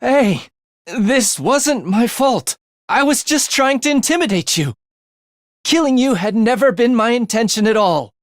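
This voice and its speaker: A man's voice: very high-pitched, lively intonation, unhurried, no echo. A man voicing a sly young assassin in a video game, with a dry, sardonic wit and a theatrical, teasing manner. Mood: defensive. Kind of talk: video game character voice lines.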